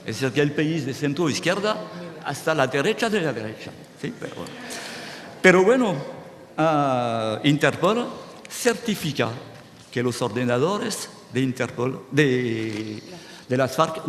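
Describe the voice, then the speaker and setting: A man's voice: medium pitch, a little slow. Guy giving a talk, keeps checking "¿sí?", echoey big room. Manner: animated, restarts words, checks with "¿sí?". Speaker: speaker at a conference. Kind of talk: lecture-style talk to an audience. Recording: reverberant hall, faint noise.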